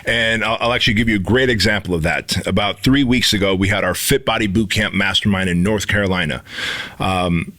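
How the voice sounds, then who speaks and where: Forties guy from armenia, low voice, emphatic motivational podcast talk. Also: a little fast, bright, forceful tone. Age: 40s. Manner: emphatic, swears freely. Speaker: podcast co-host.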